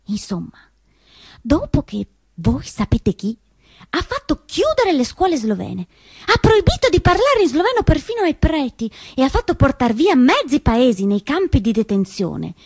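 A woman's voice: sits high, big pitch swings, normal speed, dry recording. A woman on stage playing a commanding partisan organiser who rouses young women, emphatic and conspiratorial. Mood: firm, instructing. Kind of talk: theatrical stage monologue.

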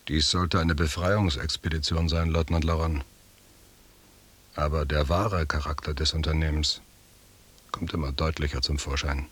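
An actor playing a disapproving, sardonic expedition member in a radio play, dry and pointed, with a husky voice. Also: male voice, rumbling low, flat delivery, moderate pace, a bit bright, heavily acted. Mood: dry disapproval. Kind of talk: 1980s German radio play acting.

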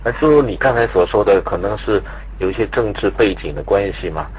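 A man's voice: lowish voice, expressive, fairly quick. A man asking questions in a conversational radio interview, polite and deferential in his phrasing. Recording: faintly noisy radio interview recording.

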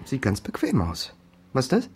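An actor playing an eager, brash young son full of schemes in a naturalistic radio drama. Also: male voice, medium pitch, wildly swooping pitch, normal speed, a little mellow, a little hiss or hum. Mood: hesitant, curious. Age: young adult.

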